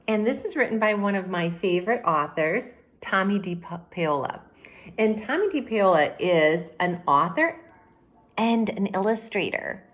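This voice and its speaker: A middle-aged woman with a slightly low voice and very lively intonation. She speaks as an elementary teacher, explaining and reading a story directly to young children. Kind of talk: read-aloud mini lesson for children. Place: indoor room at home by a window.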